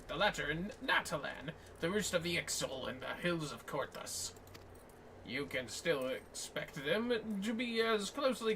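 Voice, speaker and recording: A man voicing a formal, scholarly quest-giver from a fantasy game, with measured, grandiose phrasing. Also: a little high, a bit bright, slight background noise. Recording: music playing, distant mic.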